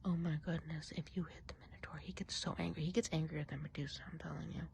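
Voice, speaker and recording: A woman playing a friendly, gossipy witch of the woods in a soft, breathy ASMR roleplay, often whispering and musing gently. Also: low-pitched, flat delivery, slight background noise. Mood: gossipy, chatty. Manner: chatty, gentle, unhurried musing.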